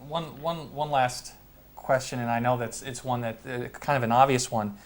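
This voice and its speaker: A man's voice: mid-range voice, fairly even intonation, moderate pace. A man hosting an interview show, asking questions in a loose, conversational way. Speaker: show host.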